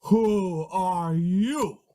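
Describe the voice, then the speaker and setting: A woman playing a slow, blustering lion in a rage. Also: on the low side, mellow. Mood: menacing. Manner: roaring, blustering, slow and gruff. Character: vain, hot-tempered male lion.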